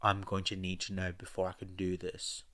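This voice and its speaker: A man's voice: sits low, moderate pace. A man voicing a stoic male hero teacher in an audio story, terse and businesslike. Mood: guarded. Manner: flat, businesslike.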